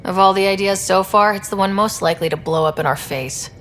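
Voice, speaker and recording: A woman's voice: mid-range voice, brisk, fairly bright tone, a little hiss or hum, close to an everyday voice. A woman playing a young leader of a space exploration team in a video game, speaking casually and directly. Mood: wry. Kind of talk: video game dialogue acting.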